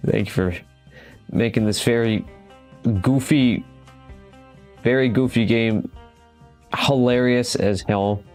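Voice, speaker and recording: A man's voice: medium pitch, fairly even intonation, slow pace, pauses often, a little mellow, faint music behind. A man hosting a gaming video, speaking informally as he comments on games and reads their text aloud. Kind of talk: casual game commentary and reading game text aloud.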